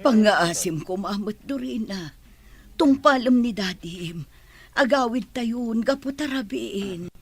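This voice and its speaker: A woman's voice: on the high side, animated, slightly rough, no room sound, heavily acted. A woman playing an anxious mother who pleads with her daughter in a radio drama. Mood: pleading. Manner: pleading, slow.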